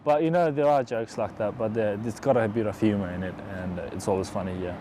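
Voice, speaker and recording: A man's voice: lowish voice, fast talker, background noise. A young man from Afghanistan in his twenties, chatting casually in a documentary interview with many fillers. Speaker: interviewee, engineering student.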